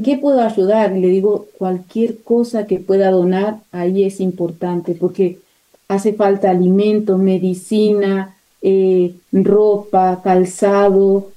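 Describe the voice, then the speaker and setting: Hesitant woman with a very mellow, even voice. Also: medium pitch, low-quality recording. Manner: hesitant, searching for words. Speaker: missionary nun, interview guest. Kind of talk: spontaneous interview account.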